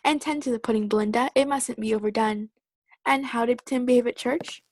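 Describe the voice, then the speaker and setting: A woman's voice: a little high, fairly even intonation, brisk, a little mellow, a little hiss or hum, close to an everyday voice. A woman playing a bustling, affectionate Victorian mother running her household in a radio play.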